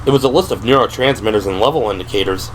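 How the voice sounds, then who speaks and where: A man's voice: lowish voice, fast talker, slight background noise, poor audio. A man reading scripted third-person narration for an audio drama. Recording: music behind the voice.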